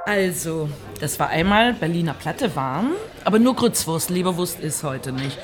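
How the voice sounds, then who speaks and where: A woman playing a brisk, matter-of-fact pub waitress reciting orders in a German radio drama. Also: low voice, animated, over music. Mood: businesslike.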